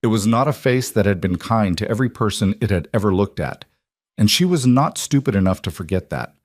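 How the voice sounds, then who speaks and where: Low-voiced male story narrator. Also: no room sound, studio quality. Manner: measured, even storytelling. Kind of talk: scripted narration.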